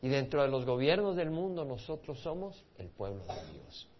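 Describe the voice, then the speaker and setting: A man's voice: medium pitch, sing-song, moderate pace, a little hiss or hum. A man from El Salvador with a Salvadoran Spanish accent, a pastor teaching a Bible study in a conversational way and asking his listeners questions. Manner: conversational, asks the audience questions, restarts words. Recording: dull lo-fi recording.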